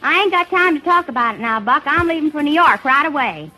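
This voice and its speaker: An American woman playing a sassy, brisk young western gal in 1930s radio comedy style. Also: high voice, lively intonation, sharp tone. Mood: dry, brisk. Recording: faintly noisy 1930s radio broadcast.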